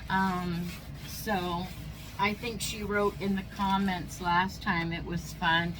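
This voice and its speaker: A woman with a stuffy nose and a slightly squeaky voice, chatting casually as she narrates each step of a craft project. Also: little pitch movement, slow, noisy background. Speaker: craft channel host. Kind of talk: casual how-to crafting chat.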